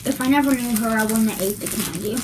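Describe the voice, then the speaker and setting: A young woman reviewing slime in a casual vlog, chatty and apologetic, her voice worn and her throat scratchy. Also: on the high side. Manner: apologetic, chatty, drawn-out words. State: losing her voice, clearing her throat. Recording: loud background noise.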